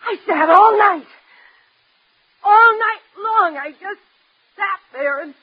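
A very high-voiced young woman, a bitter factory worker full of self-loathing. She rants and repeats herself in melodramatic radio drama fashion. The sound is dull and muffled, like an old broadcast. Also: moderate pace. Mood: bitter, wretched. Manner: overwrought, ranting, repeats herself.

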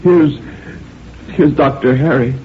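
A man voicing an anxious grown daughter, gently pleading with her mother in a story reading. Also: mid-range voice, takes it slowly, a little hiss or hum, voice pushed up, natural voice. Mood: anxious, gentle. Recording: thin phone-like band, music behind.

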